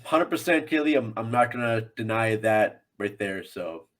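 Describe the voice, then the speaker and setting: A man's voice: on the low side, lively intonation, normal speed, fairly bright tone. A man talking casually and in a rambling, conversational way as a guest on a film podcast.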